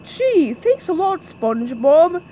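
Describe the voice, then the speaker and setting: Dim male starfish played by a woman, vain and miffed, high-pitched, dull noisy recording. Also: big pitch swings, slow, full character voice. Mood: offended.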